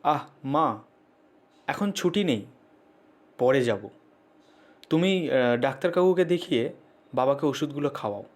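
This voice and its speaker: A man's voice: medium pitch, expressive, a little slow, pauses often, natural voice. A man voicing an impatient, money-minded grown son, a busy office manager, brusque and dismissive, in a cartoon story. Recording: music bed behind.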